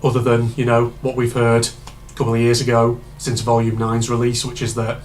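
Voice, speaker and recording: A man chats his way through a reaction video, meandering and full of fillers. His voice sits at an ordinary middle pitch and sounds a touch hoarse and congested from a cold. A faint hum of noise sits behind him. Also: completely flat delivery, normal speed, darkish tone, poor audio. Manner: rambling, chatty, many fillers and asides. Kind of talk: casual reaction commentary.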